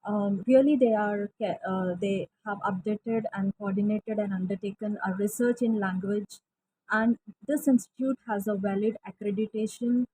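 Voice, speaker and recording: A woman, a college French teacher, giving an earnest testimonial with frequent pauses and fillers. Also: mid-range voice, steady tone, warm tone, slight background noise, low-quality recording. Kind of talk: recorded testimonial.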